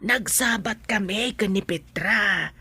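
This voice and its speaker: A woman's voice: medium pitch, slow pace, bright, forceful tone, slightly rough, no room sound, exaggerated voice. A woman with an Ilocano accent playing a protective, worried mother of a grown child in a radio drama.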